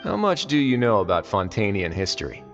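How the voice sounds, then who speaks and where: A low-voiced, wry duke. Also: male voice, very animated, in a large hall, muffled recording, loud music behind the voice. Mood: calm, reflective. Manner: unhurried, dry, teasing, assured. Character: composed, wry, authoritative duke in command. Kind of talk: video game voice acting.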